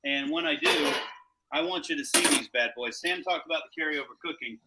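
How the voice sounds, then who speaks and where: A man's voice: fairly high pitch, a little fast, creaky, very noisy. A man hosting a live cooking show, chatting casually in slang and talking up the food as he cooks.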